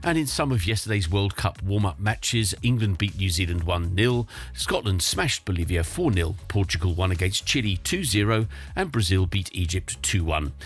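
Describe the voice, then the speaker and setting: Husky man reading radio news. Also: sits low, faint music behind. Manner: measured, even newsreading delivery. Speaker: radio newsreader. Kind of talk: scripted news bulletin.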